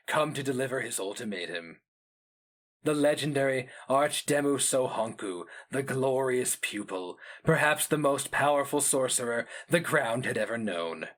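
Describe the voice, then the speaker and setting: Guy narrating dark epic fantasy, mid-pitched voice, slow solemn and dramatic.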